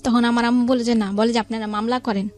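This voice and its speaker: A woman's voice: on the high side, flat delivery, quick pace. A young adult woman with a Bangladeshi accent giving an earnest personal account as a radio guest, often quoting dialogue. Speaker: interview guest, relative telling a family's story. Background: faint music.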